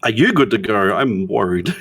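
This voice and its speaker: A man's voice: on the low side, sing-song, moderate pace, fairly bright tone, husky, dry recording, studio quality. A man chatting casually as a participant in a friends' live stream. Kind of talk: casual, hesitant chat.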